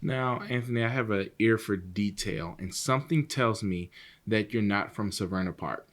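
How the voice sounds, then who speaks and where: A man's voice: on the low side, normal speed. A man co-hosting an interview, asking questions in a playful, teasing way.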